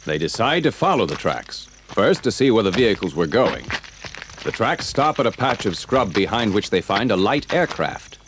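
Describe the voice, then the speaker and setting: Male narrator, adventure audio drama. Lowish, lively read, clearly noisy cassette transfer. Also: moderate pace. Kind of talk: scripted story narration for 1980s audio drama.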